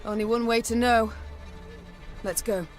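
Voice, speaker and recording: A woman's voice: fairly high pitch, steady tone, measured pace, soft background music, close to an everyday voice. Woman acting an imperious warrior queen. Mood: dry, measured. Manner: regal, archaic phrasing, imperious. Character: proud, commanding warrior queen, wry and stern. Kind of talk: video game voice acting.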